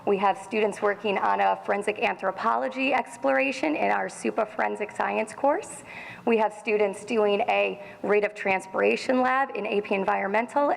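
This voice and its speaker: Woman, department supervisor, formal board presentation, gravelly voice, hall reverb. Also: mid-range voice. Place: school meeting hall.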